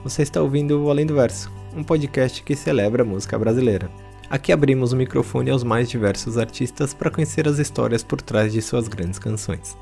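A man's voice: mid-range voice, brisk, gravelly, warm tone. A Brazilian man hosting a podcast, reading a scripted introduction. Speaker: podcast host. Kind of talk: scripted narration introducing an artist. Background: guitar music.

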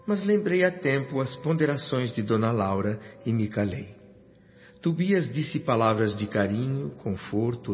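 A male actor voices a reflective spirit narrating a radio drama in a Brazilian accent. His delivery is solemn and scripted, at a middle pitch, in a slightly muffled recording with light music. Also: natural voice. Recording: dull radio-drama sound, music behind.

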